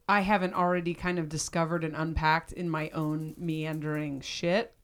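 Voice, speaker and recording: Woman, raspy, fairly low voice, rambling candid podcast chat. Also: little pitch movement, normal speed. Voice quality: raspy, deep voice that cuts out. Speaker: podcast host. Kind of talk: casual chat.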